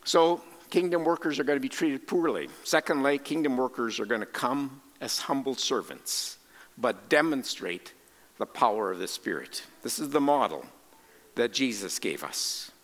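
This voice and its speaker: A man preaching a sermon as a missionary, speaking deliberately and emphatically in short phrases with pauses, in a large reverberant space. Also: mid-range voice, animated, fairly bright tone. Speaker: preacher and cross-cultural missionary. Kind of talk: sermon with scripture quotations and rhetorical questions.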